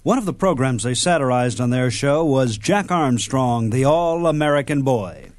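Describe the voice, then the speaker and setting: A man's voice: medium pitch, expressive, normal speed, dry recording, clean studio sound. A man hosting a radio show, delivering scripted narration in an announcer's style. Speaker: radio host. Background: loud music.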